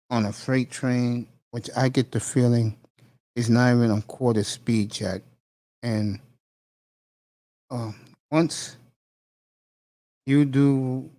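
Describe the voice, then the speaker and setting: A man hosting a casual conversation, speaking earnestly and encouragingly with many pauses and fillers. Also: medium pitch. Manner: warm, encouraging, hesitant fillers. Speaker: host.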